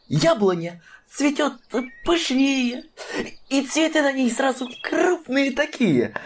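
Woman voicing a lazy, slyly teasing tomcat for a kids' audio story, slow drawl, voice swinging high and low. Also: a little hiss or hum. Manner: slow, drawling, teasing, with sudden squeaky rises. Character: drowsy, sly male cat lounging under a tree. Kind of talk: children's audio drama.

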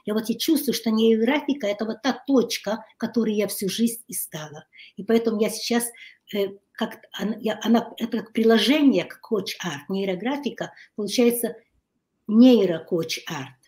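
A woman guest, a life coach and family therapist, talking in a halting way and searching for words, her voice strained after a recent illness. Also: fairly high pitch, mellow. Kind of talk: casual interview talk.

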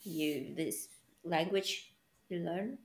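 Slow-talking woman in an echoey room. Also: lowish voice. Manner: slow, reflective, searching for words. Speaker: conversation participant. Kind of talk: casual chat.

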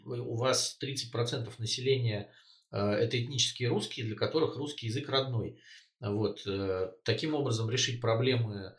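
A man in a relaxed political conversation, middle-pitched, filling his pauses with hesitation sounds and then leaning hard on his points. His voice carries a roomy echo. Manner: hesitant, many filler sounds, emphatic. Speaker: co-host. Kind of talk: casual political discussion.

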